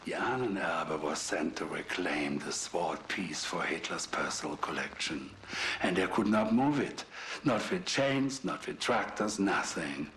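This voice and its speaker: Video game villain: sadistic German mad scientist, middle-aged, obsessed. Deliberate pace, widely swinging pitch. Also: male voice, animated, a bit bright, slight background noise, exaggerated voice. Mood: boastful, proud. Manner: theatrical, grandiose, menacing, deliberate. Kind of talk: dramatic monologue in a video game cutscene.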